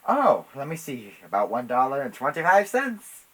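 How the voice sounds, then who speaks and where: Casting: sinister shopkeeper woman played by a man, falsely sweet, loud, lively pitch swings, raspy edge. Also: moderate pace. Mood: slyly sinister. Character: brazen murderous woman butcher, sweetly sinister.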